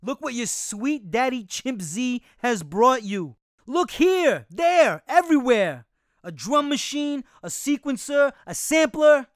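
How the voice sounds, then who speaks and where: A man's voice: very high-pitched, big pitch swings, takes it slowly, no echo, clean studio sound, pitched above the performer's own voice, exaggerated voice. A man voicing a boastful male chimpanzee gang leader who talks in slangy street patter. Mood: hyped. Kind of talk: audiobook character voice.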